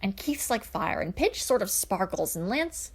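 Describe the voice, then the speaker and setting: A woman's voice: mid-range voice, sing-song, moderate pace, breathy edge. A woman voicing an enthusiastic, good-natured young male space paladin with an eager, chatty manner.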